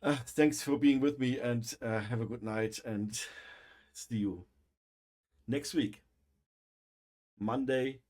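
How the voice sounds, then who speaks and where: A man with a German accent, a native German speaker, hosting a livestream and chatting casually, with a halting delivery full of pauses and fillers. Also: medium pitch, steady tone, darkish tone. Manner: halting, many fillers and restarts. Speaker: livestream host.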